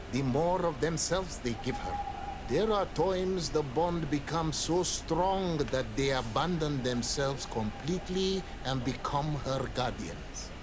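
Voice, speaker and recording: A man's voice: fairly high pitch, background noise, close to an everyday voice. An actor playing a guarded, solemn island native man in a video game, speaking formally and sternly. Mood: solemn, reverent. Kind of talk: video game character dialogue.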